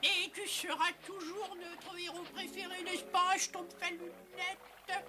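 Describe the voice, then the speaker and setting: An outspoken female cartoon Smurf with an extremely high voice. The delivery is spirited and emphatic, and music plays behind it. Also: slow. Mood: admiring, frank. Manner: emphatic, expressive. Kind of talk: animated cartoon dub. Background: background music.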